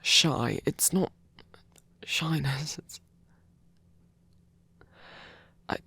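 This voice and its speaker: Casting: male actor as insecure, tender trickster god for ASMR audio roleplay; very slow, hesitant, breathy murmur with creaky edges, close-miked. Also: fairly high pitch, sharp tone, no echo, exaggerated voice. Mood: shy, vulnerable. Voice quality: breathy, whispery. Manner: hesitant, halting, stammering. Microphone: close microphone, dry.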